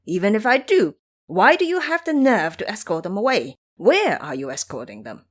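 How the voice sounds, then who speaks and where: A woman's voice: mid-range voice, sing-song, moderate pace. A woman voicing an exasperated male woodcutter in an audio drama, scolding and blunt with emphatic delivery. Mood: indignant.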